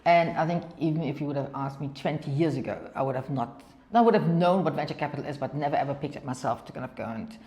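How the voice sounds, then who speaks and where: A middle-aged woman from Germany speaks English with a German accent, her voice sitting a little low. She tells long, rambling anecdotes and keeps using the filler "kind of go and," doubling back on her words. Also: quick pace, mellow. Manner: talks in long anecdotes, often says "kind of go and", repeats words. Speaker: venture capital partner, guest. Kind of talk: interview storytelling.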